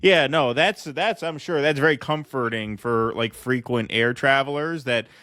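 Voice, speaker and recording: A man's voice: mid-range voice, moderate pace, sharp tone, no echo, clean studio sound. A man co-hosting a political show with casual, sarcastic, jokey banter. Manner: sarcastic, jokey, laughs easily. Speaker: political talk show co-host.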